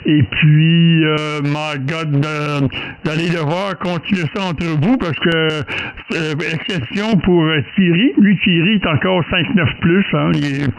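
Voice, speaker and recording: A man's voice: fairly high pitch, fairly even intonation, unhurried. A man with a Quebec French accent chatting casually as a radio operator, rambling and hesitant, often repeating himself. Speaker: amateur radio operator leading a group contact. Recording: clearly noisy amateur radio recording with clicks.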